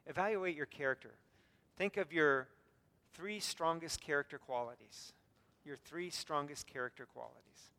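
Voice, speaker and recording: Squeaky-voiced pastor preaching in a hall. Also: male voice, normal speed, sharp tone. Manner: earnest, self-deprecating humor, frequent fillers and restarts. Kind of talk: sermon. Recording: long reverb from a hall.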